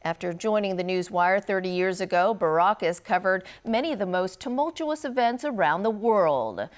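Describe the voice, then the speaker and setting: A woman reading the news as anchor, in a measured, formal delivery. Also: medium pitch, lively intonation.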